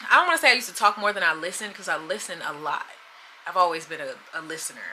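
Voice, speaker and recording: A woman's voice: fairly low pitch, bright, forceful tone, slight background noise, rough recording. A woman in her thirties chatting candidly to the camera in a casual vlog, often laughing. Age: 30s. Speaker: vlogger.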